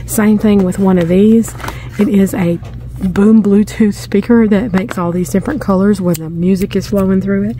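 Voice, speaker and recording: A middle-aged woman filming herself shopping in a large store, chatting casually and getting excited over finds as she goes. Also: mid-range voice, normal speed. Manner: chatty, easily excited, thinking aloud. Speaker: shopping vlogger. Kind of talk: casual shop-with-me narration. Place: inside a large discount store. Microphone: handheld phone camera filming her hands.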